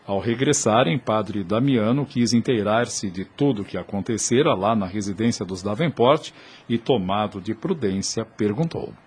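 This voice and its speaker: A man with a Brazilian accent and a husky voice, giving scripted dramatic narration for a radio soap. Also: lowish voice, moderate pace. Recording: music bed under the voice.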